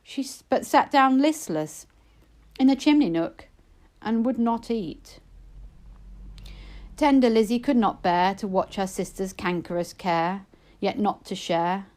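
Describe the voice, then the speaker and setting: A woman reading narrative poetry aloud, with measured, expressive and dramatic phrasing. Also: mid-range voice. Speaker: poetry reader.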